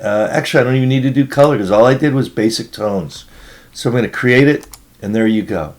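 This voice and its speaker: A man with a hoarse voice from being sick, casually walking through a photo edit step by step as a tutorial host. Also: medium pitch, quick pace. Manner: casual, rambling, talks through each step. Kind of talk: screen-recorded editing tutorial.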